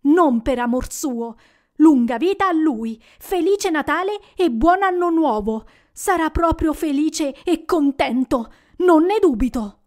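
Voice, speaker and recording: A woman voicing an indignant, sharp-tongued mother in an audiobook reading. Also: sits high, lively intonation, a little slow, pitched above the performer's own voice. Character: fierce indignant mother at a poor family's table.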